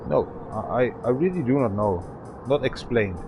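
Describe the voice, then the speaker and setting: A man's voice: medium pitch, animated, normal speed, narrow, tinny audio, music in the background. A man in his thirties streaming a game, rambling and swearing in a frustrated way. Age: 30s. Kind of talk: casual gaming stream chat.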